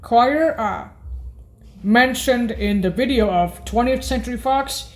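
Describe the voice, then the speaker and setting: A woman with a fairly high voice presents keyboard sounds extremely slowly. She is hesitant and deliberate, naming items one at a time in an informal, halting demo style.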